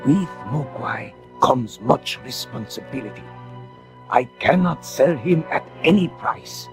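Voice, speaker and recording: A man plays a very old Chinese shopkeeper, firm and grave, in a storybook dramatization. He speaks very slowly in clipped, broken English, with a wide rise and fall in pitch. Music plays faintly underneath. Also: animated, lightly acted. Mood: firm, solemn. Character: very old, firm, solemn Chinese shop owner.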